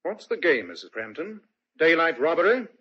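An actor playing a dry, precise detective with a cool manner, in an old radio drama. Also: male voice, fairly high pitch, big pitch swings, moderate pace, a little hiss or hum. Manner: dry, crisp and deductive. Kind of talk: 1940s radio acting. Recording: dull lo-fi old radio recording.

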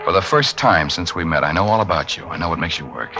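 A suave, earnest writer and lover with a very low voice. He speaks smoothly and persuasively, placating in vintage radio suspense style. Music runs strongly through the recording. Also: animated, fast talker. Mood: smooth coaxing. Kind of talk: 1940s-50s radio suspense acting.

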